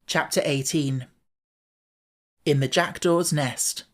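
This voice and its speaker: Male audiobook narrator, measured storytelling. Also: a little high. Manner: measured storytelling, with livelier character voices.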